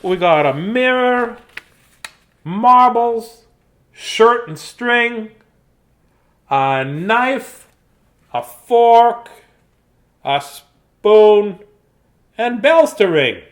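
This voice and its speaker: A man's voice: high voice, lively intonation, drawn-out words, pauses often, heavily acted. A man voicing a cheery, chatty male mouse who shows off a house in sing-song rhymes for a children's storybook. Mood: chirpy. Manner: sing-song rhyming, tour-guide patter. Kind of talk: children's storybook read-aloud.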